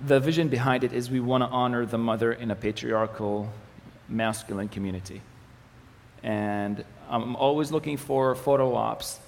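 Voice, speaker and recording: Man, native Arabic speaker, gravelly voice, relaxed joking talk, lots of ums, echoey hall, faint noise. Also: mid-range voice. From Israel, Galilee. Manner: relaxed, joking, many fillers and restarts. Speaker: ministry communications manager giving a presentation. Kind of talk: church presentation with slides.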